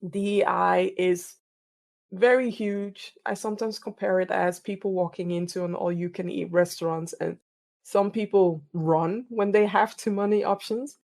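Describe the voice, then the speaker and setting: A woman's voice: medium pitch, fairly even intonation, moderate pace, vocal fry, a little mellow. A middle-aged woman from the Netherlands, answering interview questions in an earnest, reflective way and often repeating words as she thinks. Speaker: podcast host and DEI coach.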